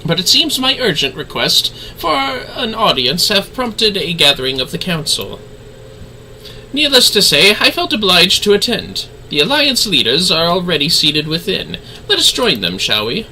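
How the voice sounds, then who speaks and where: A man's voice: high-pitched, very animated, normal speed, sharp tone, a little hiss or hum, heavily acted, poor audio. A man voicing a courteous, earnest young nobleman diplomat from a fantasy video game, speaking formally. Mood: polite, earnest. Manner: formal, polite.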